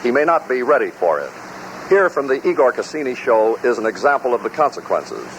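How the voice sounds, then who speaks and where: A male narrator reads scripted introductions at a medium pitch. The old radio recording carries a light layer of noise. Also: very animated, brisk, poor audio. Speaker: narrator of a blooper compilation.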